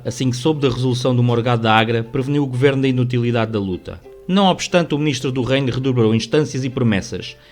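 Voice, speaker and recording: Male narrator, European Portuguese accent, medium pitch, scripted storytelling for radio drama, faint music bed. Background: soft music.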